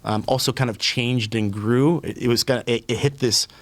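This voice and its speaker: A man's voice: medium pitch, moderate pace, dry recording. A man in his thirties from Puerto Rico, a podcast guest talking casually with lots of ums and restarts. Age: 30s. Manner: relaxed, many fillers and restarts. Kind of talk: casual conversational interview.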